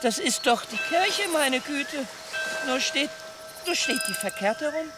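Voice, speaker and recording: A man's voice: very high-pitched, lively intonation, measured pace. Give the radio play its bewildered, starved-looking plantation owner. He halts and repeats himself in confusion, with music playing strongly beneath his voice. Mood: confused, alarmed. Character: bewildered, emaciated German plantation owner, a man. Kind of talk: German radio drama acting. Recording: loud music under the voice.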